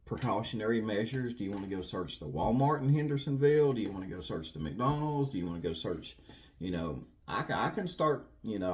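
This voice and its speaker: A man's voice: fairly low pitch, a little mellow. A man giving casual, rambling commentary to viewers in an earnest, folksy way, repeating himself for emphasis. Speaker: true crime video host.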